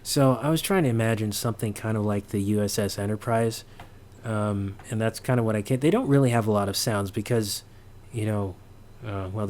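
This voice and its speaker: A man's voice: on the low side, a little mellow, slight background noise. A man presenting a casual sound design walkthrough, hesitant and thinking aloud as he goes. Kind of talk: casual screen-recorded walkthrough.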